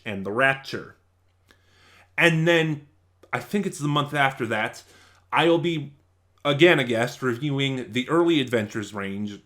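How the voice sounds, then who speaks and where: A man with a mid-pitched voice hosts a review channel and speaks casually to his viewers. He talks in short, halting bursts with a conversational, unscripted feel. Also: sharp tone. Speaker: video reviewer and channel host.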